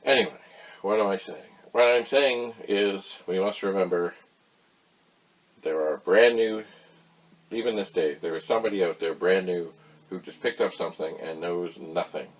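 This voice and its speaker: A man with a fairly low, husky voice hosts a casual explainer. He talks in a folksy, rambling way, wandering into asides and pausing for emphasis. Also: low-quality recording. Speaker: host of a retro computer tutorial channel.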